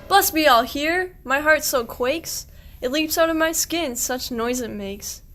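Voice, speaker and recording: Excitable, suspicious shepherd played by a woman, high voice, slow theatrical verse for comic radio play. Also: music in the background. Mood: startled, anxious. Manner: theatrical, exclamatory rhymed verse. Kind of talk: verse radio play acting of a medieval comedy.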